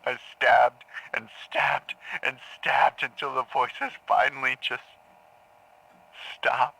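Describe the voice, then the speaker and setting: A man's voice: mid-range voice, little pitch movement, slow, gravelly, sharp tone, slightly rough, full character voice, rough recording. An actor playing a troubled male patient in an audio drama, confused and haunted, stammering and sometimes dropping into a near-whisper. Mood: haunted, numb. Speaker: therapy patient.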